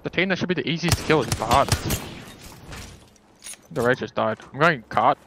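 A man's voice: mid-range voice, normal speed, noisy background. A man playing in an esports team, making urgent, repeated callouts and swearing in the heat of a match. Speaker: esports player calling plays. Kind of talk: excited in-game team callouts.

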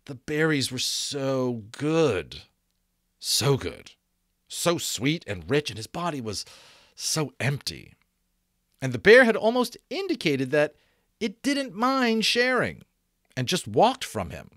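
Expressive male storyteller, widely varied pitch. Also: no room sound, studio quality. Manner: expressive, deliberate pauses and stressed repeats. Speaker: audiobook reader. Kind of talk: dramatic novel narration. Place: small room at home. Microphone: desk microphone close in front.

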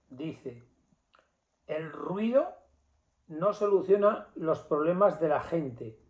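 A man's voice: on the high side, darkish tone. A man giving an indignant, emphatic opinion monologue to camera in a small office, with rhetorical questions and repetition. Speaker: political commentator. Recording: echoey room, slightly dull sound.

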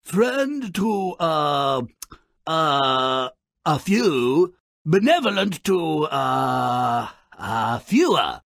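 A man voicing a mischievous, grumpy little creature as a sardonic video game narrator, with a gravelly, raspy voice and a mocking, grandiloquent manner. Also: on the high side, very animated, very slow, fairly bright tone, no room sound, studio quality, full character voice. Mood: mock solemn. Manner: mocking, grandiloquent, relishing misfortune.

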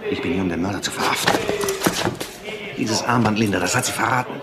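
An actor playing a dogged, old-fashioned police detective in a crime radio drama, dry, blunt and sarcastic. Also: male voice, fairly low pitch, fast talker, lightly acted. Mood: dryly sarcastic. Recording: clear background vehicle and street noise.

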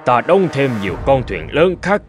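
A man playing a burly old fisherman father, anxious and regretful, in an animated fairy tale. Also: mid-range voice, normal speed, soft background music, close to an everyday voice. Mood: hesitant.

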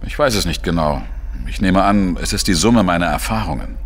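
An actor playing a world-weary male investigator, narrating his case in a measured, introspective way for a crime audio drama. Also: sits low. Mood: guarded, reflective.

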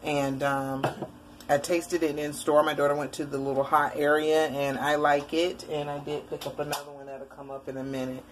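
Woman, 40s, low voice, rambly and chatty, home webcam vlog, faint hiss behind. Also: little pitch movement, normal speed. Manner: chatty, rambling, lots of fillers. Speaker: vlogger. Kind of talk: casual grocery haul chat. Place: indoor room at home, shelves behind.

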